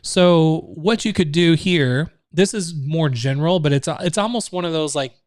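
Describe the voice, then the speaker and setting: A man's voice: fairly high pitch, normal speed, no room sound, studio quality. A man hosting a live online training, explaining steps in a loose, casual way full of fillers and restarts. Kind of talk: live screen-share training.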